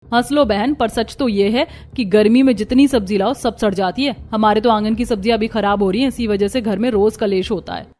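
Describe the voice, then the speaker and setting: A woman's voice: mid-range voice, fairly quick, darkish tone, close to an everyday voice. A woman voicing a worried, earnest young village daughter-in-law in a cartoon, anxious and pleading.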